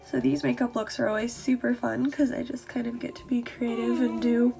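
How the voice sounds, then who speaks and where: A woman vlogger chatting casually behind the scenes, soft-spoken and often breathy, nearly whispering. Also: on the high side, level tone, brisk, faint music behind. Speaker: ASMR video creator and vlogger.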